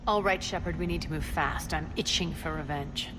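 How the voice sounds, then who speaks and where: A woman's voice: deep voice, normal speed, noisy background, natural voice. An actress playing a ruthless, commanding alien crime queen in a video game, sardonic and imperious. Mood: brisk command. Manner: imperious, sardonic, clipped orders. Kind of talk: video game cutscene acting.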